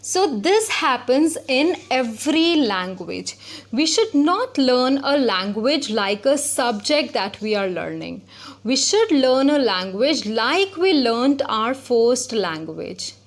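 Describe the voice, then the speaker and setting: A woman's voice: sits high, very animated, slow pace. A woman giving a spoken lesson, explaining step by step and repeating her points. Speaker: English teacher.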